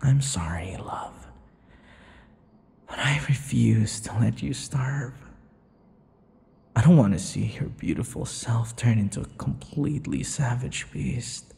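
Man acting a slow, possessive vampire captor, reverberant. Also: mid-range voice, mellow. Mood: menacing, tender. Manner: taunting, drawn-out, slipping into breathy whispers. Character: possessive, sadistic yandere male vampire captor. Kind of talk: intimate ASMR roleplay acting. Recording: long hall-like reverb.

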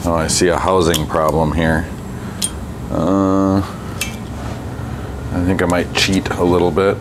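A man working on a bike in his workshop, talking casually through each step with a relaxed, chatty manner. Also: low voice, takes it slowly. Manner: relaxed, chatty, thinks aloud with fillers. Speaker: bike mechanic and channel host. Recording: workshop noise behind the voice.

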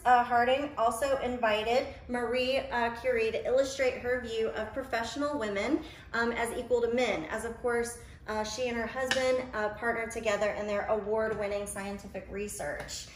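A woman's voice: a little high, fairly even intonation, normal speed, slight background noise. A woman in her forties giving a history talk during a cooking demonstration in a home kitchen, with frequent ums and uhs. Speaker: museum educator. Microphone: camera a few feet away. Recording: roomy kitchen, mic at a distance.

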